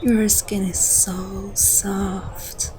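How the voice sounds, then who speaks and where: A woman's voice: mid-range voice, level tone, very slow, warm tone, faint music behind. A woman playing a flirtatious, teasing female vampire in an intimate, coaxing ASMR roleplay. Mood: coaxing, seductive.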